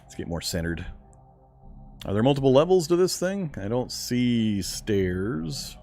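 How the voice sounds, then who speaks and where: A man's voice: medium pitch, sing-song, unhurried, darkish tone, soft background music. A man streaming a video game, chatting casually and answering viewer questions with a fairly strong speaking voice. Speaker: gaming streamer. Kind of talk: casual live-stream chat while gaming.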